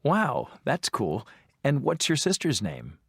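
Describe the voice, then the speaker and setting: An adult voice playing an interviewer in a scripted textbook dialogue, asking friendly questions with measured delivery. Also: mid-range voice, huge pitch swings, a bit bright, husky, dry recording, clean studio sound, heavily acted. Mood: plain.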